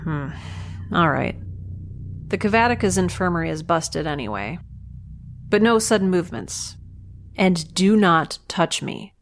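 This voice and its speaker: Woman as wry, low-voiced young space traveler. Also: lively intonation, unhurried, pauses often, a little hiss or hum. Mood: wary, defiant. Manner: wry, casual, guarded. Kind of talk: science fiction audio drama acting.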